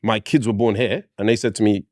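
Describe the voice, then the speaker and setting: A man's voice: brisk, no echo, clean studio sound. A man on a podcast panel speaking expressively, acting out quoted voices as he argues his point. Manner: expressive, acts out quoted voices with big pitch swings. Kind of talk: casual panel discussion.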